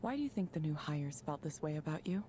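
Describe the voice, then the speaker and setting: A woman playing a calm, polite counselor in a video game, speaking scripted advice in a measured, neutral way. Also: sits low, a little hiss or hum. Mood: neutral, probing.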